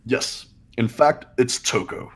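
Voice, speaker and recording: A man playing a husky, arrogant young genius. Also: mid-range voice, takes it slowly. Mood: smug, certain. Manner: haughty, declarative. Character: arrogant, cold, accusing young rich genius. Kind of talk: amateur game dub, dramatic reading.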